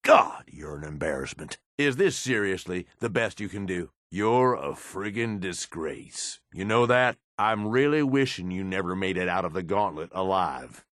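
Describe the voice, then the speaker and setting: Deep-voiced, gruff American raider henchman. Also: lively intonation, normal speed, few pauses, a bit bright, no echo, studio quality. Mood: contemptuous. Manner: blunt, streetwise, coarse slangy talk. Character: gruff, cynical male wasteland raider, loyal but blunt henchman. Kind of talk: video game companion voice lines.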